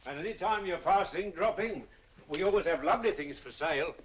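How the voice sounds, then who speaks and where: An actor playing an obliging, ingratiating auction-house clerk in a 1940s film, with a husky voice. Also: male voice, high voice, animated, a little fast, a little hiss or hum. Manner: ingratiating and polite, calling everyone sir. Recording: dull lo-fi old film soundtrack.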